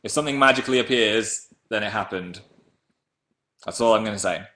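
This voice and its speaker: A man in his twenties from England with a deep voice, chatting playfully on a webcam livestream while reading comments. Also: a little fast, sharp tone. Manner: playful, jumps between high and low pitch. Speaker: livestream host. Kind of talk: casual live chat reading comments.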